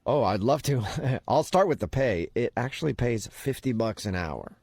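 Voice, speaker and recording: A man's voice: mid-range voice, lively intonation, moderate pace, a little mellow, husky, dry recording, studio quality. A man playing an upbeat, pushy temp agency recruiter on a prank phone call, talking casually and keeping a straight face. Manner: casual, breezy, straight-faced salesman patter. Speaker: radio prank caller.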